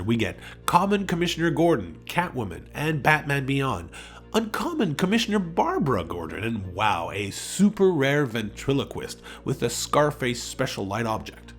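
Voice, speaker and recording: A man hosting an unboxing review, explaining game pieces in an upbeat, enthusiastic way. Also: on the high side. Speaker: tabletop game unboxing host. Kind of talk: upbeat hobby review narration. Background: background music.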